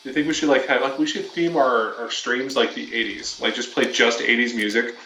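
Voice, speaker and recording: A young man bantering crudely and teasingly while he plays a game, quick to laugh at his own jokes. His voice sits a little back from the microphone in a roomy space. A steady hiss of noise runs under the talk. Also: medium pitch. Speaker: streamer. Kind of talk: casual joking chat while gaming. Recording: roomy, distant, noisy home stream.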